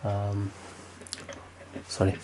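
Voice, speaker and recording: A man's voice: lowish voice, completely flat delivery, very slow, background noise. A man with a Romanian accent, speaking unscripted with many fillers and restarts while demonstrating something at his desk. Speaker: tech video maker.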